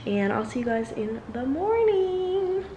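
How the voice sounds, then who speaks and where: A woman's voice: high-pitched, big pitch swings, a little slow, noisy background. A woman in her twenties chatting casually to a handheld camera in her apartment, upbeat and slangy, laughing often. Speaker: vlogger. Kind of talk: casual vlog chat to camera. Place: apartment at home, indoors. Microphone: handheld camera at arm's length.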